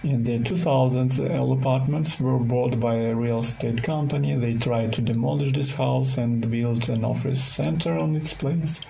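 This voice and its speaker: A man with a Russian accent guides a tour in careful, halting non-native English. His voice is dark and relaxed, with a good deal of creak at a middling pitch. He sounds like he is following a prepared script, with faint noise behind him. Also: low-quality recording. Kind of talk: scripted virtual tour narration.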